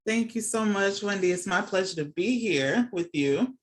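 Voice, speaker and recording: A woman's voice: medium pitch, animated, normal speed. A middle-aged woman from Jamaica who moved to the States as a child, chatting casually as a podcast guest and often checking in with the listener. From born and raised in Jamaica, moved to the United States as a child. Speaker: podcast guest, nurse and academic. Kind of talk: casual conversational interview answers. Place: remote video call from home.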